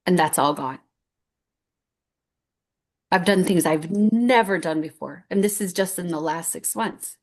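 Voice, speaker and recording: A woman's voice: fairly low pitch, a little slow, pauses often. Earnest rambling woman in her fifties. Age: 50s. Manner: earnest, rambling with many restarts. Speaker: guest speaker. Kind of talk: heartfelt personal story on stage.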